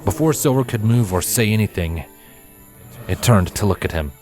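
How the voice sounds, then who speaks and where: A man with a low voice narrates a piece of fiction. He reads from a script as a storyteller, with music playing behind his voice. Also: lively intonation, normal speed, a little mellow. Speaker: narrator, fan fiction reader.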